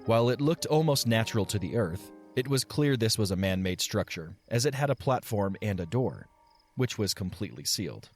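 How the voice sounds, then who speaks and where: Guy narrating an audio play, kinda low voice, measured storybook delivery, faint music behind him. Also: sing-song.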